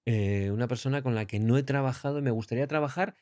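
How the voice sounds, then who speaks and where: A man from Águilas, a guest in a casual podcast interview, talking in a relaxed, reflective way with drawn-out phrases. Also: mid-range voice, no echo, studio quality. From Águilas, Murcia, Spain. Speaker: interview guest, training company founder.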